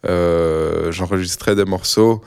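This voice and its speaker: Low, flat-voiced Belgian man. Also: slow, creaky, smooth. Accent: Belgian French. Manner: relaxed, hesitant, many fillers. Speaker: musician. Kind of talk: casual interview answers.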